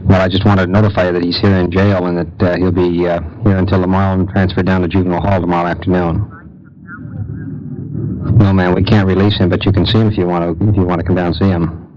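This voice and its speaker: A man's voice: low voice, little pitch movement, fast. A man speaking as a police sergeant, giving an official notification in a plain, matter-of-fact way. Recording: muffled vintage location tape, faint noise.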